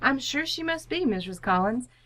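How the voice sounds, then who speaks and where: A woman's voice: sits high, expressive, normal speed, fairly bright tone. A woman acting a prim, poised housewife and hostess, politely exasperated, in an old-time radio comedy. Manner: proper, restrained, firm.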